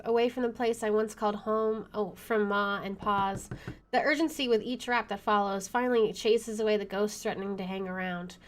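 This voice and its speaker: A woman doing let's play commentary, reading game text aloud in an expressive, dramatic way. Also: on the high side. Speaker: video game streamer. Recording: background noise and game ambience.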